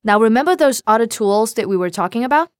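Female co-host with a Cantonese accent, smooth resonant voice, dry studio sound, careful slow model phrases. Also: fairly high pitch, lively intonation, no echo. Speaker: language lesson co-host. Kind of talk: scripted language lesson.

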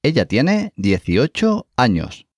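A man teaching Spanish as co-host of a scripted language lesson, saying each phrase slowly and clearly and encouraging the learner. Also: medium pitch, wildly swooping pitch, darkish tone, no echo, clean studio sound.

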